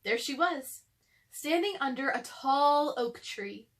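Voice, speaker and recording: A woman's voice: high voice, lively intonation, slow pace, fairly bright tone. A young adult woman chatting casually to children and reading a storybook aloud to them.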